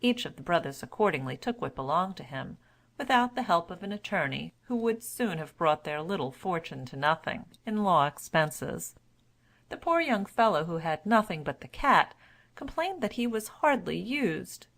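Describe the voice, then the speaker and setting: Lowish-voiced woman reading a children's story. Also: very animated, moderate pace, vocal fry, a bit bright. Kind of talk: scripted children's story narration.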